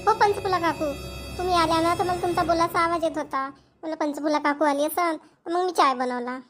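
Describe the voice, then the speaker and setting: A woman plays a dutiful young cartoon daughter-in-law, respectful and eager to serve. Her high voice moves quickly. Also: steady tone, music in the background. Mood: dutiful, polite. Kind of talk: amateur cartoon voice acting.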